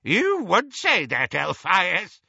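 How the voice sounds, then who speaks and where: Storybook casting: ancient witch great-aunt played by a man, screechy high voice, blunt, tipsy, gleefully malicious gossip, wildly swooping delivery. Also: wildly swooping pitch, slow, vocal fry, sharp tone, breathy edge, no echo, highs cut off, exaggerated voice. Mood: gossipy, needling. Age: over 100. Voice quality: shrill, cackling, screechy.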